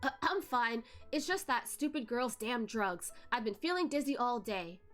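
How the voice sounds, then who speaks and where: A woman voicing a weary, brash, sharp-tongued man in a novel reading, casual and blunt. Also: fairly high pitch, lively intonation, moderate pace, soft background music.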